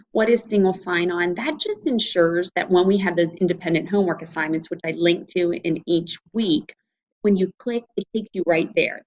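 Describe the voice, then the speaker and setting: A woman's voice: lowish voice, lo-fi sound, a little hiss or hum. A woman with an Ohio Valley American accent, an instructor talking students through an online course in an informal, conversational, explanatory way. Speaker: college instructor. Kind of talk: informal screencast course walkthrough.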